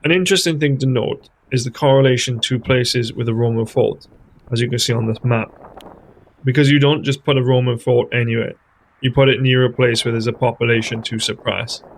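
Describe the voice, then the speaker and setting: Gravelly-voiced man narrating. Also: medium pitch, steady tone, a little hiss or hum, low-quality recording. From Wales. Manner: measured, explanatory, tentative about theories. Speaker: host of a history channel. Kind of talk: scripted voice-over narration.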